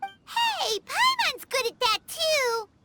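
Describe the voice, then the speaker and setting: A woman voicing a tiny, excitable, childlike female fairy companion in an anime game, squeaky and indignant, in exclamations. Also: slow pace, heavily acted. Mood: eager. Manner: exclamatory, refers to self by name. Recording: loud game music behind voice.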